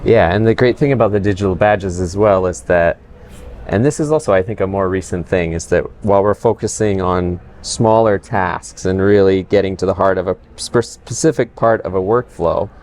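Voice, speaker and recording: A man's voice: sits low, moderate pace, a little mellow. A man hosting an interview podcast, talking conversationally and encouraging his guest. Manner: conversational, encouraging, frequent backchannels. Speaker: host. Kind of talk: casual interview.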